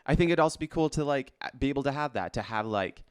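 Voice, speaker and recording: A man's voice: medium pitch, lively intonation, fast, clean tone, no echo, studio quality. A man co-hosting a podcast, chatting casually about pop culture in an informal, slangy way with loose phrasing. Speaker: podcast co-host.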